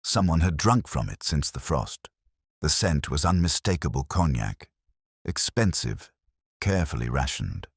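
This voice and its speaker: Very deep, husky brooding detective voiced by a man. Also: big pitch swings, no echo, studio quality, exaggerated voice. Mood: quietly suspicious. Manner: measured, literary, reflective. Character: brooding male police inspector recounting a murder case. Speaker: narrator. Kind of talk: first-person scripted detective story narration.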